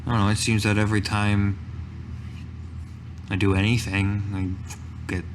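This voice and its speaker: A man's voice: fairly low pitch, flat delivery, normal speed, slight background noise. A man playing a tabletop game, improvising in character in a hesitant, conversational manner. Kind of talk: improvised in-character roleplay in own voice.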